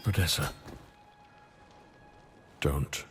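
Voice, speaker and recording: An actor voicing a deferential, strained island governor, over game music. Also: male voice, extremely slow. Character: deferential male island governor, low-voiced.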